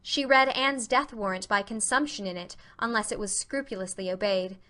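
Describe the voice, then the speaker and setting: A woman's voice: mid-range voice, moderate pace, sharp tone. A woman narrating a classic novel as a scripted audiobook reading.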